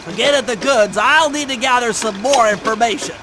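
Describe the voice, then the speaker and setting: A man's voice: very high-pitched, fairly quick, noisy background. A man voicing a nerdy, brainy young cartoon turtle who briefs heist plans in a precise, pedantic, nasal voice. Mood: explaining, precise. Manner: precise, pedantic, wordy, self-assured. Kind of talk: scripted cartoon game mission briefing.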